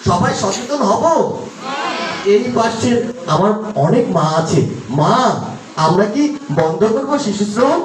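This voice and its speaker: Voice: mid-range voice, lively intonation, unhurried, pauses often, close to an everyday voice. A performer voicing a cheeky, chatty eight-year-old boy puppet, coaxing children with questions in live puppet show patter. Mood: earnest, rousing. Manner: coaxing, question-asking, addresses the crowd directly. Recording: distant, echoey, noisy live crowd recording.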